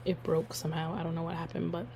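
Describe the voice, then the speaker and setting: A young woman hosting her own podcast, hoarse from losing her voice, chatting casually and rambling with lots of fillers. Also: low-pitched, quick pace, a little hiss or hum. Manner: rambling, filler-heavy, relaxed. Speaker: podcast host. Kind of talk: casual solo podcast chat.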